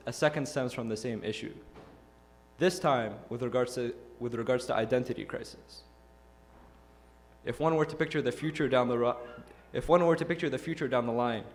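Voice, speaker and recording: Young guy from iraq giving a youth talk, earnest and measured, middle pitch. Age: young adult. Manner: measured, earnest delivery with rhetorical questions. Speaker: youth speaker. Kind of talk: prepared speech.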